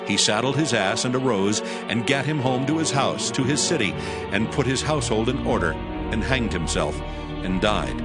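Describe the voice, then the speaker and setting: A man narrates scripture in a fairly low voice. His storytelling is solemn and deliberate, as in a dramatized audiobook. Prominent music runs behind him.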